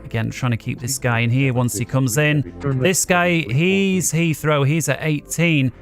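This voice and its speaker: A man's voice: medium pitch, a little slow, faint music behind. A man giving casual gameplay commentary as a gaming video host, thinking aloud as he plays. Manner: thinking aloud while playing.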